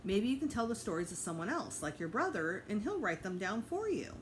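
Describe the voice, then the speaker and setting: A woman voicing a kind, patient young female library clerk in a storybook, soft, soothing and encouraging. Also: medium pitch, big pitch swings, fairly quick, a little hiss or hum, lightly acted. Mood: soft, coaxing.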